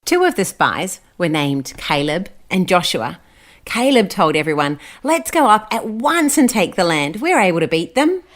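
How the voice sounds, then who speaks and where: Australian female children's story host, voice a little on the low side, simple explanatory delivery. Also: very animated, moderate pace.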